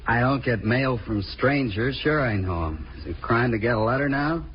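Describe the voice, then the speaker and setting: A man's voice: mid-range voice, normal speed, lightly acted. An actor playing a surly, evasive handyman under police questioning in a 1950s radio crime drama, curt, defensive and slangy. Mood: defiant. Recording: muffled old 1950s radio recording, faint noise.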